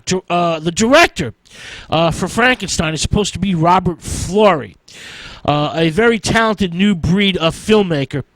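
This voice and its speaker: High-voiced man with halting, choppy delivery. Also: fairly bright tone, dry recording, rough recording. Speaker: host of a film history show. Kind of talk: informal lecture-style storytelling from notes.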